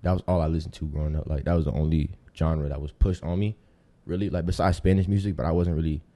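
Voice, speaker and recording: Podcast guest: American male, early twenties, low mellow voice, loose slangy storytelling. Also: steady tone, fast talker. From Tampa, Florida, United States. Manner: slangy, informal street talk. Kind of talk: casual chat, storytelling.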